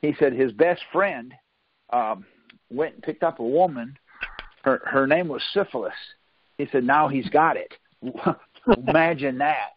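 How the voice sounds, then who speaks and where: A man's voice: mid-range voice, measured pace. Folksy, insistent man on dull call audio. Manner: folksy, insistent, often checks with "see?". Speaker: teacher leading a conference call. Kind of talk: informal question-and-answer teaching on a group call. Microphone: phone conference line.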